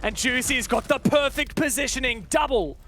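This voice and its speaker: A high-voiced man commentates a competitive video game live. His phrasing is clipped and dramatic, in the manner of a play-by-play caster. There is some light game noise behind him. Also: moderate pace.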